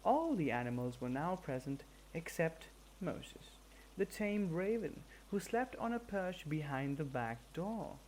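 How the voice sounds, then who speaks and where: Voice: medium pitch, lively intonation, a little slow, a little mellow, slight background noise, low-quality recording. A person reading a storybook narration aloud from a script. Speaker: audiobook reader.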